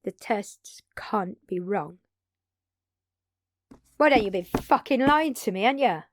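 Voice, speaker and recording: A woman playing a brash, excitable undead vampire in an audio drama, talking casually and expressively straight to the listener with salty language and dramatic pauses. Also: mid-range voice, dry recording, clean studio sound. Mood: accusing, tense. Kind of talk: intimate audio drama roleplay addressed to listener.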